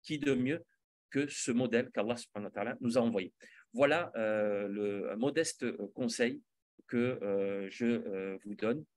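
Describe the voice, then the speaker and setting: A man's voice: mid-range voice, a little slow, a little mellow, no echo. A male teacher giving earnest, preacherly advice in a religious talk.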